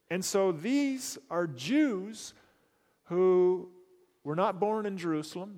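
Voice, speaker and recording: A man explains scripture in an unhurried teaching tone, stacking repeated phrases to drive each point home. His voice sits a little on the high side. The sound carries a long reverberant tail, as if recorded in a large hall. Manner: explanatory, repeats phrases for emphasis, occasional fillers. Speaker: Bible teacher. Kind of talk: unscripted Bible teaching.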